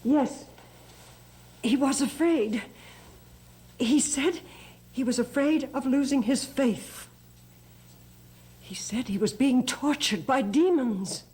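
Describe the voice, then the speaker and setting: An actress playing a distraught, guilt-ridden woman in a gothic soap opera, with dramatic, halting delivery. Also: high-pitched, a little mellow. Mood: troubled, pleading.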